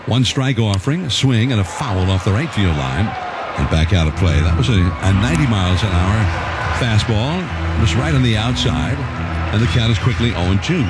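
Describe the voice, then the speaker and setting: Lively male baseball play-by-play announcer. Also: lowish voice. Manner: relaxed, conversational, with fillers and self-corrections. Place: broadcast booth at a ballpark. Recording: ballpark crowd and noise behind.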